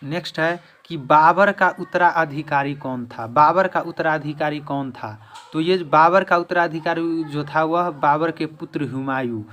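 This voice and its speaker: A man's voice: fairly high pitch, a little slow, a bit bright. A man giving a quiz-style history lesson as an exam-prep teacher, restating each question and answer.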